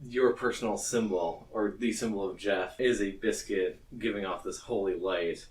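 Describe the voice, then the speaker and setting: A man's voice: fairly low pitch, steady tone, moderate pace. A man narrating a tabletop roleplaying game as game master, bantering and joking as he goes, recorded at a distance. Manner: jokey, often breaking off into laughter.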